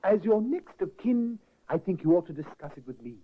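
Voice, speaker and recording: A courteous, solicitous nephew. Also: male voice, high voice, sing-song, a little fast. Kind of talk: 1950s radio drama acting. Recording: tinny restored old radio broadcast.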